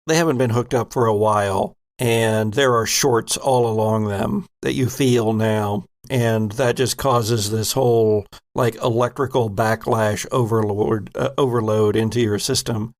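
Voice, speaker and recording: Man, game master, slow, gravelly, descriptive. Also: mid-range voice, fairly even intonation. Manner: unhurried, descriptive. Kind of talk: narrating a tabletop game.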